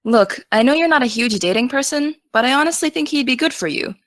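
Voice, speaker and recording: A young American woman playing an excitable, teasing teenage aspiring journalist in naturalistic, casual audio drama dialogue. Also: high voice, quick pace, no echo, studio quality. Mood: chatty, coaxing.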